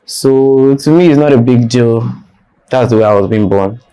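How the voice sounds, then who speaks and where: A man's voice: medium pitch, normal speed, mellow, smooth, rough recording. A young man with a Nigerian accent, a guest in an interview, talking bluntly and defiantly and repeating his points for emphasis. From northern Nigeria. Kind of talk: candid personal interview.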